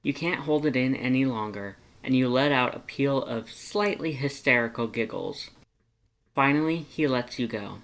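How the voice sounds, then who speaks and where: A narrator reading fan fiction aloud in an expressive storytelling style. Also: medium pitch, moderate pace.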